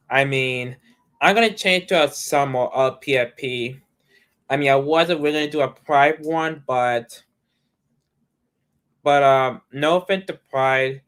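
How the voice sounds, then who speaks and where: Rambling young male livestreamer, smooth voice. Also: medium pitch, slow, long pauses, fairly bright tone. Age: young adult. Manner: rambling, restarts sentences often. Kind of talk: casual livestream chat.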